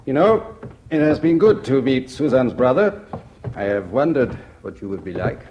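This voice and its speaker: A man's voice: mid-range voice, moderate pace, a little hiss or hum, natural voice. An actor playing a charming, flirtatious Frenchman in a radio drama, speaking English with a French accent and laughing often. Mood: knowing, sly. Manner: laughing, teasing, flattering. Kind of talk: 1960s radio drama acting.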